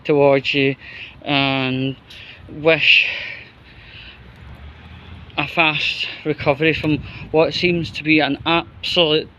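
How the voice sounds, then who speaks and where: Slow-talking Scottish man, fairly high voice. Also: level tone, pushed and bright, muffled recording, a little hiss or hum. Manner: halting, broken phrases with repetition. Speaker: vlogger. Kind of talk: unscripted opinion monologue.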